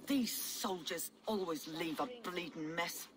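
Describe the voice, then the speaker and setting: An actress playing a suspicious, hard-nosed medieval village alewife in a game cutscene, with a curt, colloquial manner. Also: female voice, fairly low pitch, takes it slowly. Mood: annoyed. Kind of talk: dramatic game cutscene acting.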